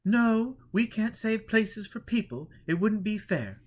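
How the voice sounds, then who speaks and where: Man voicing a high-pitched, firm, kindly woman. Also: lively intonation, a little slow. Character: kindly but firm woman pilgrim waiting in line.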